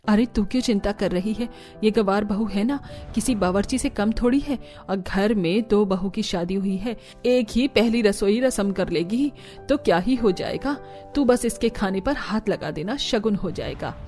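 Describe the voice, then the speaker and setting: A woman plays a spiteful, bossy mother-in-law in a cartoon family drama. Her middle-aged voice is dark and mellow, haughty in delivery. Music runs behind it. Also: medium pitch, moderate pace, lightly acted. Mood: gloating, doting. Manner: scornful, bossy. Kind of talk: Hindi animated family drama dubbing.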